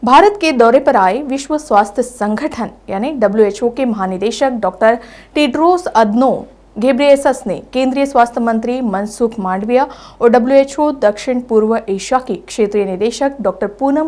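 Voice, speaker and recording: A woman reads the news as a bulletin anchor. Her voice sits slightly higher than average for a woman, and she delivers scripted reports. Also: moderate pace, a little mellow.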